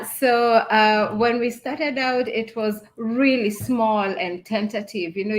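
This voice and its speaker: A woman's voice: medium pitch, rough recording. A woman from Kenya, a small business founder, telling her story in a casual video call chat and laughing often.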